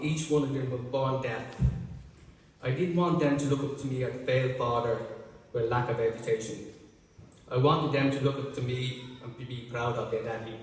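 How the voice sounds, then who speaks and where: A man's voice: mid-range voice, distant mic. Irish man speaking in an echoey hall. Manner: slow, deliberate delivery. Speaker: graduate giving a speech. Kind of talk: prepared ceremony speech. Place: large ceremony hall.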